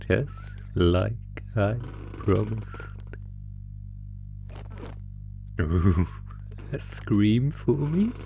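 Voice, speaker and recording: A man's voice: low-pitched, vocal fry, dark tone, slight background noise, full character voice. A man voicing a sadistic, predatory monster from under the bed in a horror audio roleplay, taunting the listener with mock-sweet, savoring menace. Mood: gloating, teasing.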